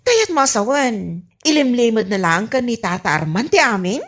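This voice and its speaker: A woman's voice: fairly high pitch, very animated, normal speed. A woman playing a naive, incredulous young village woman in an Ilocano radio comedy drama, with an Ilocano accent and an exclaiming manner.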